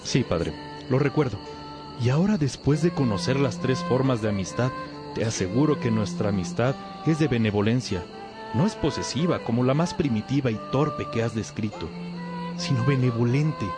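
A man's voice: mid-range voice, animated, normal speed, natural voice. An actor playing a lovesick young man, a respectful pupil who speaks earnestly and hesitantly in a religious radio drama. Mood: earnest, smitten. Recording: music behind the voice.

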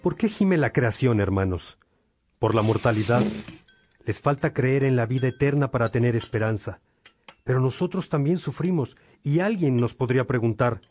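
A man playing a gentle, devout monastic father in a radio drama, speaking in a fraternal, reflective way. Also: medium pitch, slight background noise, natural voice.

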